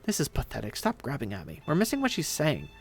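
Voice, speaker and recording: A man's voice: a little high, sing-song, fairly quick, vocal fry, fairly bright tone, full character voice. A man acting out petulant young men squabbling over treasure in a dramatic story reading.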